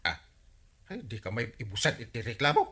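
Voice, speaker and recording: A man acting as a bossy, excitable village drinking buddy in an Ilocano comedy radio drama, needling and full of exclamations. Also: medium pitch, fairly quick, breathy edge. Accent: Filipino, Ilocano.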